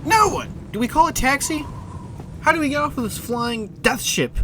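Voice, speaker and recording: A man playing a cocky, wisecracking young male superhero sidekick in an audio drama, brash, sarcastic and excitable. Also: very high voice, moderate pace, noisy background. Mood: exasperated.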